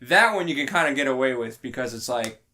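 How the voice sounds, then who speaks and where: Man, podcast host, mid pitch, casual and conversational, argues through examples. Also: lively intonation, fairly quick, sharp tone. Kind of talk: casual group podcast chat.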